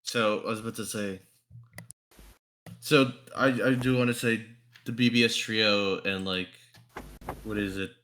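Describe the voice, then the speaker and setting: A man's voice: on the low side, steady tone, unhurried, long pauses, fairly bright tone. A man chatting casually on a podcast, rambling and swearing as he stammers through restarts. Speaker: podcast panelist and fandub voice actor. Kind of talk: casual group chat about a game fandub.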